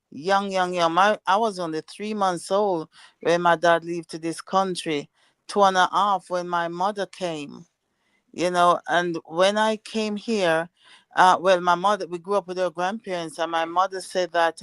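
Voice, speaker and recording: A woman's voice: on the low side, fairly bright tone, clean tone, no room sound. An older woman from Jamaica with a Jamaican accent, talking plainly and bluntly in a casual livestream chat. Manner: plainspoken, blunt, repeats words for emphasis. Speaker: guest, host's mother.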